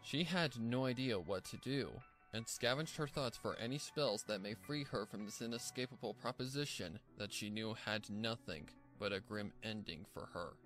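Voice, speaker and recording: A man's voice: lowish voice, a bit bright. A man reading scripted story narration as the narrator of a fan fiction tale.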